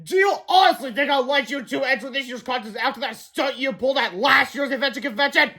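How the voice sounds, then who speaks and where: Storybook villain principal, voiced by a male reader: mean, barking and mocking, pitched up high and loud. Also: fast, heavily acted. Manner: gloating, barking outbursts with mocking laughter. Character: mean, shouting, scolding male school principal. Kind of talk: children's storybook character voice.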